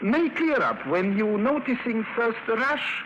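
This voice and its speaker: A man playing a brisk, eccentric Greek doctor in a 1950s radio drama, speaking clipped broken English with a Greek accent. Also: extremely high pitch, sing-song, highs cut off, exaggerated voice. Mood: brisk, clinical. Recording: noisy vintage 1950s radio recording.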